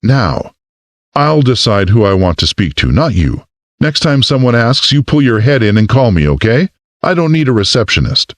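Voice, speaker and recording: A male narrator voicing a no-nonsense woman mayor, brisk and commanding, in an audiobook. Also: mid-range voice, big pitch swings, dry recording, pitched above the performer's own voice, natural voice. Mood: firm.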